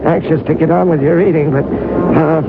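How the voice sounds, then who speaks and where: A doddering old gentleman on a train, high and gravelly, dithering over every word. The voice comes through a hissy, narrow old radio broadcast. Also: steady tone, normal speed. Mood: fretful. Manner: fussy, hesitant, full of fillers. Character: sly sorcerer posing as frail old man. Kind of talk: 1970s radio drama acting.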